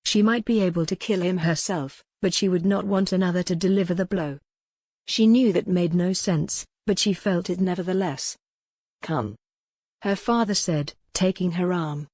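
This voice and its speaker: A woman narrates an audiobook in a fairly low voice, recorded dry and close. Her reading is scripted historical romance, told with expressive, dramatic storytelling. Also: dry recording.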